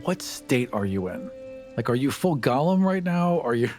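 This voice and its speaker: Jokey mid-pitched man in game banter. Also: big pitch swings, normal speed, a little mellow. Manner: jokey, questioning. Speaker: tabletop game player. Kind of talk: casual banter and game discussion. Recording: music playing behind the voice.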